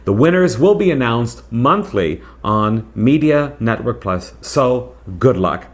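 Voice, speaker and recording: A man's voice: mid-range voice, lively intonation, slow pace. A man hosting a product review in a conversational, emphatic way, speaking directly to viewers. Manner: conversational, emphatic, addresses viewers with rhetorical questions. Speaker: host and radio reviewer. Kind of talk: casual product review to camera.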